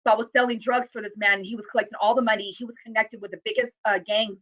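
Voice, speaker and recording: American woman on a video call, a bit high-pitched, earnest and open, muffled dull audio. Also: steady tone, rapid-fire, fairly bright tone. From United States. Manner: candid, earnest, with restarts. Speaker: guest speaker. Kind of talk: personal testimony in interview.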